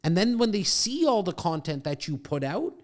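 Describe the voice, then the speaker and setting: A man's voice: high voice, big pitch swings, normal speed. Brash, profane man coaching in studio. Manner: brash, profane, pep-talk delivery. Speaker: podcast host and real estate coach. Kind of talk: casual mentoring chat. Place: home studio.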